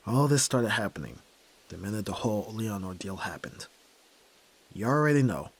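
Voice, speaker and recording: A man acts out a young, nerdy yakuza heir for a dramatic ASMR roleplay. His voice sits fairly low with a husky grain. He is brusque and tormented, speaking curtly as he pushes the listener away. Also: a bit bright, full character voice. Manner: brooding, curt, pushing the listener away.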